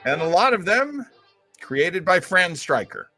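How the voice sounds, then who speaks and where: A man's voice: high-pitched, big pitch swings, measured pace. A man with an American accent giving casual commentary as co-host of a retro radio show. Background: music.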